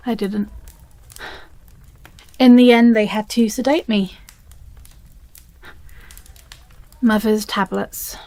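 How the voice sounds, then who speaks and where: A woman with a British accent playing an obsessive, possessive, unstable stepsister in a dramatic audio roleplay, speaking haltingly with heavy pauses. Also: mid-range voice, steady tone, a little mellow, no room sound. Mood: cold, menacing.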